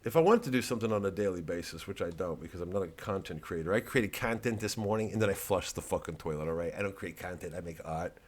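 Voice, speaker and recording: A man's voice: deep voice, fast talker, hardly any pauses. A man giving a rambling, improvised satirical monologue, sardonic and profane, often digressing as he goes. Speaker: satirical comedian.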